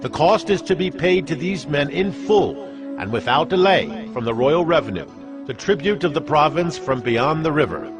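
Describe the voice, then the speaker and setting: A man's voice: a little high, lively intonation, normal speed, close to an everyday voice. A man playing a commanding Persian king, proclaiming a decree in a stately, imperious way in a dramatized Bible reading. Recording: music bed under the voice.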